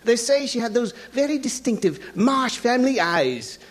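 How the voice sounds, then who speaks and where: An actor playing a fussy, gossipy scholar in an audio drama, flustered and observant. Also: male voice, very high-pitched, big pitch swings, normal speed. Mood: flustered, intrigued.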